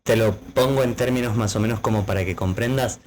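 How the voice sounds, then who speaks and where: Low-voiced man explaining at length. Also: fairly quick, a little hiss or hum. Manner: explanatory, lecturing, with many fillers. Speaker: podcast co-host. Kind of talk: casual conversational podcast.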